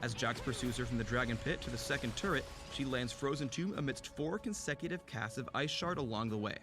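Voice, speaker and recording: A man's voice: medium pitch, fairly quick, music in the background. A man reading scripted narration for a video game character guide. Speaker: game champion spotlight narrator.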